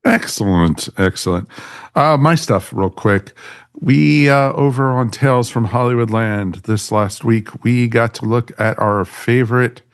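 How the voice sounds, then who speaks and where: A man's voice: medium pitch, measured pace, clear, resonant tone. A man hosting a podcast in casual, chummy chat, addressing everyone like friends. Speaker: podcast host.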